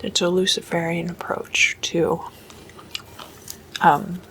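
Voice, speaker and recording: A woman doing whispered ASMR as a host, hushed and deliberate, mostly in breathy, unvoiced whisper. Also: on the low side, flat delivery, rough recording. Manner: slow, hushed, deliberate delivery.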